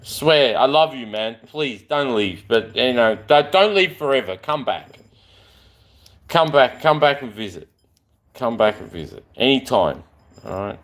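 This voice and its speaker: A man's voice: medium pitch, animated, measured pace, fairly bright tone. A man, chatting in a rambling, affectionate way on a live stream. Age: 40s.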